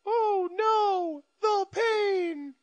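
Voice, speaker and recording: A woman voicing a loud, silly anime parody character in an exaggerated, shouty manner. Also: extremely high pitch, drawn-out words, heavily acted. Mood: pained. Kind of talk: comedic anime parody voice acting. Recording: music behind the voice.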